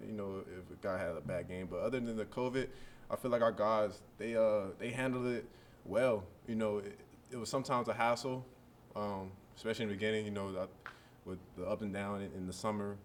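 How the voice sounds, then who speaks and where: A man in his early twenties, a college football player, answering press questions in a relaxed, rambling way full of fillers. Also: on the low side, slight background noise. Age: early 20s.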